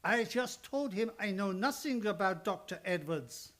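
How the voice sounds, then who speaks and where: A man's voice: sits high, lively intonation, measured pace, fairly bright tone. An old male psychoanalyst, acted by a man for an old-time radio play. He is kindly but grumbling, takes his time over each phrase and drops small words in broken English. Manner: broken English with dropped articles.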